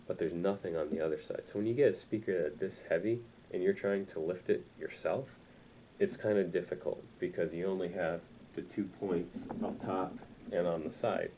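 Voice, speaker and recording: Young guy doing a casual gear review, really low mellow voice, kinda rambly, some noise in the room. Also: normal speed, poor audio. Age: 20s. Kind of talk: casual review chat to camera. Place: small room indoors.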